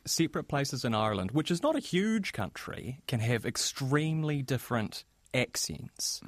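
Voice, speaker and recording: A man's voice: mid-range voice, big pitch swings, moderate pace, no room sound. A man with a New Zealand accent hosting a podcast, conversational and curious. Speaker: podcast host. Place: radio studio.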